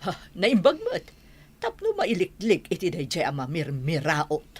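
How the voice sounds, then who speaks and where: A woman acting a stern, snobbish middle-aged mother in a radio drama, with an Ilocano Filipino accent and a husky voice. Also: lowish voice, wildly swooping pitch, unhurried, exaggerated voice. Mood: curious, calculating.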